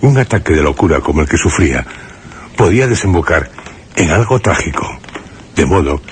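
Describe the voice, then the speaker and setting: A wary, frightened narrator in a horror radio play. His extremely deep voice is grave and dramatic, with expressive swings and careful pauses over faint noise. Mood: tense, grave. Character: fearful, cautious man narrating his ordeal at gunpoint. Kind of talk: first-person suspense radio drama narration.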